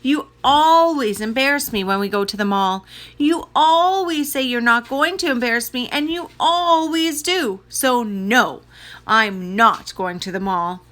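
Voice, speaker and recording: A woman's voice: a little high, lively intonation, a little slow, fairly bright tone. A woman voicing an exasperated, embarrassed young boy in a children's story, whiny and dramatic. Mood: annoyed.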